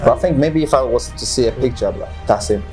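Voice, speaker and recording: A young man with a Nigerian accent, telling his personal story as an interview guest in an earnest, halting way. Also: mid-range voice, darkish tone, soft background music. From northern Nigeria. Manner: earnest, halting, with many ums. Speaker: guest, football player.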